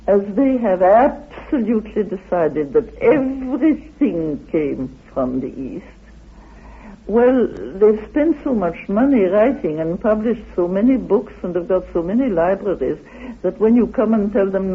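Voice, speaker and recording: An older man with a strikingly high, husky voice tells his story as an interviewed author. He is deliberate and emphatic, and the recording is an old, narrow-band radio interview. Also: animated.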